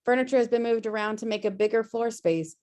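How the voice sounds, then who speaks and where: A woman's voice: on the high side, fast talker, a little mellow. A woman reading screenplay stage directions as scripted narration on a video-call table read.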